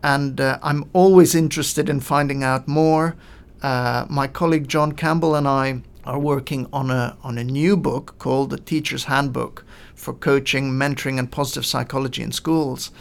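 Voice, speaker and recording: A middle-aged man from Lebanon, a guest on a podcast, explaining his work in measured sentences with frequent pauses and fillers. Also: medium pitch, fairly even intonation, vocal fry. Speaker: guest, coaching in education expert. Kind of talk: podcast interview answers.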